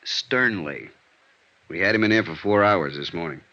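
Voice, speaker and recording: A gruff American detective sergeant in an old radio play. His voice is very low with a hard, bright edge, and he lays out facts in terse deadpan. The recording sounds dull and vintage. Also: normal speed. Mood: dry, sardonic. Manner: terse, deadpan case reporting. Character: gruff, matter-of-fact male police detective sergeant.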